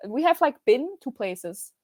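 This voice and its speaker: A woman's voice: sits high, sing-song, unhurried, darkish tone, no room sound, studio quality. Bubbly Austrian-accented young woman. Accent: Austrian German. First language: German. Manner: bubbly, laughs often, many restarts and fillers. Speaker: podcast guest. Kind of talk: casual podcast chat over video call.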